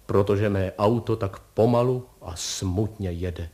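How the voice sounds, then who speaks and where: A man playing a hollow-voiced, funereal gentleman. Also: lowish voice, pitched below the performer's own voice, close to an everyday voice. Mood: mournful. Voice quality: hollow, sepulchral. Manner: slow, mournful, formal.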